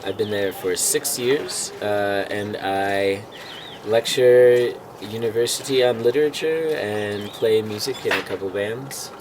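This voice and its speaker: A man who lectures on literature, introducing himself slowly and deliberately. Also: lowish voice, a little mellow. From New York State. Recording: outdoor with noise.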